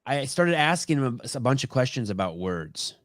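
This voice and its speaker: A man hosting a casual interview podcast, a native Armenian speaker, talking in a halting way with repeated phrases and restarts. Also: mid-range voice, sing-song, no echo, clean studio sound. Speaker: podcast host.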